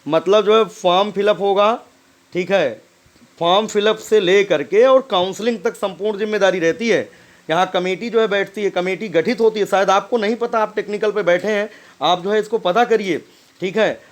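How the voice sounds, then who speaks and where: A man's voice: high-pitched, moderate pace. A man giving informal commentary as a host, insistent and admonishing, often tagging his points with short check-in questions. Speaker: host commenting on a recorded phone call.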